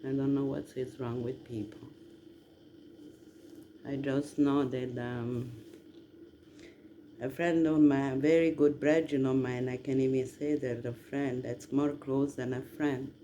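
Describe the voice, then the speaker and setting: A man from southern Italy, rambling casually to listeners in a halting, emphatic way. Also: medium pitch, level tone, dark tone, dull audio. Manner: halting, drawn-out delivery with emphatic questions. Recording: noticeable background noise.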